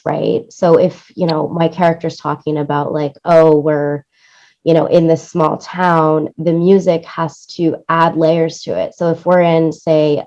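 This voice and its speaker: Low-voiced woman explaining, dull audio. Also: a little mellow. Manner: explanatory, checks in with "right?" and "you know". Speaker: interview guest, music supervisor and producer. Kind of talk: conversational interview answers.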